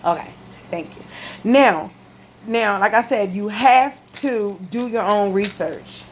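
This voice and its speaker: Female vlogger, medium pitch, lisp, casual emphatic delivery to camera, slightly noisy home sound. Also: slow. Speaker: video blogger giving health testimony. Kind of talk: casual direct-to-camera chat. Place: living room at home.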